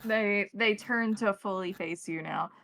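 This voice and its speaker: Creaky-voiced woman running a tabletop game. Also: medium pitch, a little slow, fairly bright tone. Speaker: game master. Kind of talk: improvised tabletop game narration.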